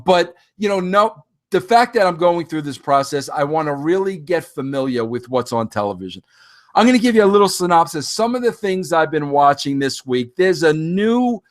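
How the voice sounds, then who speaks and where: A man in his fifties hosting a podcast, talking casually and animatedly straight to his listeners, often rambling and restarting his sentences. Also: fairly high pitch. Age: 50s. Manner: addresses listeners directly. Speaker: podcast host. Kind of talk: casual solo monologue.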